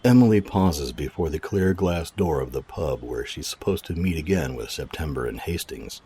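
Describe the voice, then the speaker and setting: A man's voice: a bass voice, lively intonation, moderate pace, dark tone, breathy edge. A man narrating an audio drama in a scripted storytelling style, reading both story and credits. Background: music.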